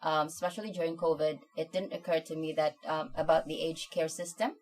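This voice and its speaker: Lady, low voice, pretty flat, lots of ums. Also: a little fast, rough recording. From Philippines. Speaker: guest.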